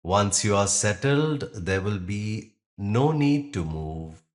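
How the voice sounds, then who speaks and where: A man leading a guided yoga nidra meditation, speaking calmly and gently in short, unhurried phrases with pauses. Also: on the low side. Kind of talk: guided meditation, slow instruction with long pauses.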